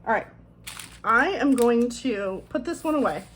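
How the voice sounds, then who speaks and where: A woman's voice: on the high side, lively intonation, unhurried, a little hiss or hum. A woman chatting casually as she decorates a planner, her voice a little thick from swollen tonsils, rambling in self-deprecating asides. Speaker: planner vlogger.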